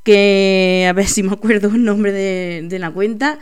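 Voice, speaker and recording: A woman's voice: medium pitch, a little slow, smooth. A woman hosting a local radio show in a chatty, laughing, self-deprecating way. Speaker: radio host. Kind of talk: casual local radio chat.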